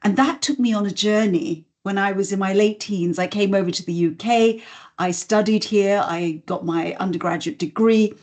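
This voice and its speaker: A middle-aged woman from Singapore, a voice and communications coach, telling her story as a guest in a podcast interview in a measured, articulate way. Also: mid-range voice, darkish tone.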